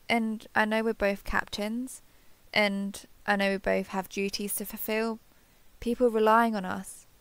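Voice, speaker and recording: A woman playing an earnest, affectionate starship captain in an intimate sci-fi audio roleplay, speaking haltingly and confessionally. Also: medium pitch, vocal fry, no echo. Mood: apologetic, emotional. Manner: hesitant, halting, apologetic, confessional.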